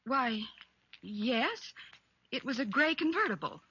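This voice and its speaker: Casting: careful, earnest witness, higher bright voice, old radio crime serial with muffled sound. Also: female voice, very animated, slow, breathy edge, full character voice. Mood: earnest, sure. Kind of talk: 1940s-50s radio drama acting. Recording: dull old radio recording, faint hiss.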